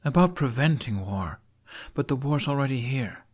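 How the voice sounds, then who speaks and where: A man's voice: mid-range voice, lively intonation, moderate pace, a little mellow, voice pushed up, natural voice. A man voicing a blunt, loyal young woman warrior in an audiobook. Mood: grave, loyal.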